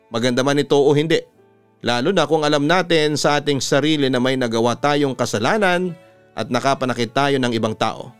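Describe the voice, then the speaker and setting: A man's voice: medium pitch, clean tone. A man, a radio storyteller, reading letters and narrating in an earnest, dramatic style. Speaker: radio announcer and storytelling host. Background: music under the voice.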